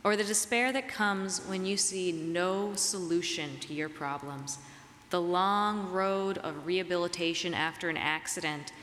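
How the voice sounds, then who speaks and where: A woman preacher delivering a reflective, measured sermon, her voice slightly raspy as she gets over a cold. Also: lowish voice, fairly bright tone. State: getting over a cold and flu. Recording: reverberant hall, clear background noise.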